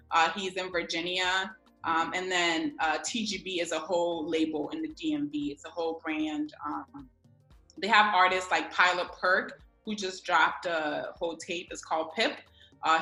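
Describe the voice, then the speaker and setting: Young woman from Ghana, chatty guest on a video call, bright and echoey. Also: fairly low pitch. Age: 20s. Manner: chatty, lots of fillers, slangy. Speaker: guest, publicist. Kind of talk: casual interview over video call.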